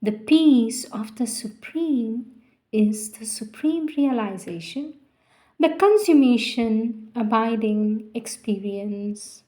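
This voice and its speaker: A woman giving a measured, reverent devotional reading of poetry and scripture, pausing between phrases. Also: on the high side, lively intonation, dark tone. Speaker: devotional reader.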